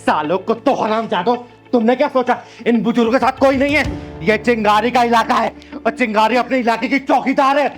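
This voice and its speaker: A man's voice: very high voice, brisk, few pauses, faint music behind. A man playing a fierce, swaggering kinnar vigilante who protects the elderly with boastful, threatening declamations in a melodramatic short film. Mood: menacing, boastful.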